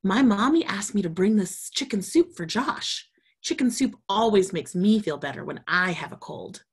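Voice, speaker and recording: A woman's voice: mid-range voice, expressive, normal speed, breathy edge. A woman voicing a kind, helpful young neighbor child in a children's storybook, polite and explaining. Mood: kindly. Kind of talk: children's storybook read-aloud.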